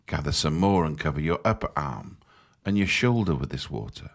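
A man leading a guided meditation in a soothing, measured, instructive manner. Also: extremely low pitch, expressive.

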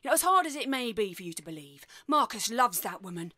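An actress playing a bitter, snobbish middle-aged wife in an audio play, sarcastic and scornful. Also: female voice, fairly high pitch, big pitch swings, quick pace, bright, forceful tone, dry recording, studio quality, full character voice. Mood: indignant.

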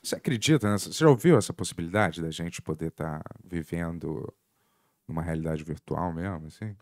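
A man's voice: low-pitched, brisk. A man in his thirties with a Carioca accent, hosting a casual podcast chat in a studio, talking loosely with lots of fillers and trailing questions. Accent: Carioca Brazilian Portuguese. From born in Portugal, raised in Rio de Janeiro. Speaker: podcast host. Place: studio with curtains, indoors. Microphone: boom mic with headphones.

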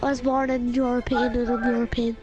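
A young person bantering and teasing a friend while playing a video game, laughing often. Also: female voice, a little high, level tone, fast talker, highs cut off. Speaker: gamer. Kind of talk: casual banter while gaming. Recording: game music behind. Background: game menu music.

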